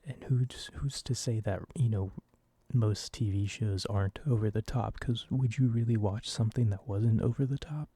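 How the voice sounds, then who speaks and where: Young man, early twenties, very mellow dark tone, husky, soft-spoken ASMR rambling, casual. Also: lowish voice, normal speed, no room sound. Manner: casual, self-deprecating. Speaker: ASMR video creator.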